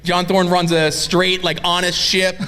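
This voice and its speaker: High, bright-voiced podcast host. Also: male voice, little pitch movement, measured pace, smooth. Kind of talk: live podcast interview.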